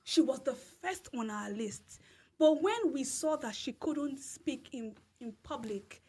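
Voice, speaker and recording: Nigerian woman guest, slightly high and breathy, emphatic and repetitive storyteller. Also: lively intonation, takes it slowly, mellow. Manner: emphatic, repeats phrases, laughs through story. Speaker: podcast guest. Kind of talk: storytelling in casual interview.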